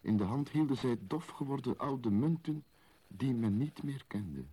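An actor playing a solemn storyteller of a mystical legend, narrating poetically and speaking straight to the listener in a radio play. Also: male voice, mid-range voice, lively intonation, a little slow, warm tone, breathy edge. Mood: solemn, mysterious. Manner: solemn, incantatory, addressing the listener directly.

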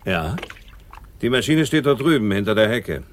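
Very deep-voiced, laconic boatman. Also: big pitch swings, normal speed, slight background noise. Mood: calm, laconic. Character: calm, helpful, unafraid male boat owner. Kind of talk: radio crime drama acting.

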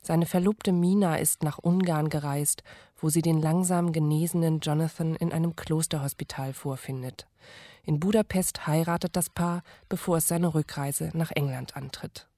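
Very low-voiced woman narrating radio drama. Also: fairly even intonation, mellow, no room sound. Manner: measured, formal storytelling. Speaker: narrator and announcer. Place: studio.

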